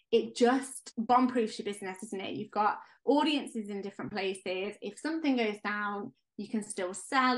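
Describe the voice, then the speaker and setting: A woman co-hosting a podcast in casual, enthusiastic chat, her voice going hoarse as she is losing it. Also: mid-range voice. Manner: enthusiastic, chatty. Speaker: podcast co-host.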